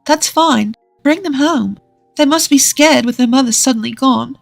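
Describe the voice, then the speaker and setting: A woman voicing a gentle, cheerful young wife in a narrated story, with a comforting, lightly teasing manner. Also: high voice, normal speed, creaky, dry recording, soft background music, voice pushed up. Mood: reassuring, kind. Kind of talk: acted dialogue in a narrated story.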